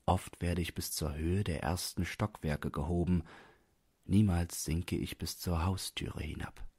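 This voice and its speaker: A man's voice: low-pitched, fairly even intonation, a little slow, a little mellow, slightly rough, no room sound, clean studio sound. A man reading a literary short story as an audiobook narrator.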